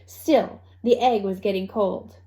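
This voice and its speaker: A woman reading a children's story aloud with expressive narration and dramatic, deliberate phrasing. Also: mid-range voice, poor audio. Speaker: storybook reader.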